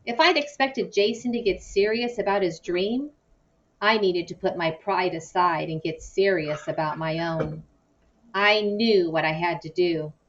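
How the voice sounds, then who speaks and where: A woman with a fairly low voice reads a children's novel aloud with expression. She sounds a little way from the microphone, and the recording is slightly muffled. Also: measured pace, far from the mic. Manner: animated, acts out dialogue. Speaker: audiobook-style reader.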